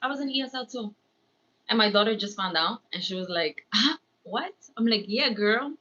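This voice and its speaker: A woman who grew up speaking Spanish first chats casually to a livestream. Her voice is bright and forward at a medium pitch. She rambles in an informal, talkative way, and the sound is a little lo-fi. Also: lively intonation, moderate pace. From born in Ecuador, raised in New York. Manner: chatty, rambling, slips into Spanish phrases. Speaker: livestream host, crafts vlogger. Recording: slightly dull, lo-fi stream audio.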